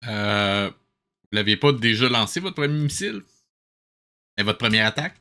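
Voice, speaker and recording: A man's voice: lowish voice, expressive, moderate pace, bright, forceful tone, soft background music. A man giving sarcastic, mocking commentary over a cartoon he is reviewing.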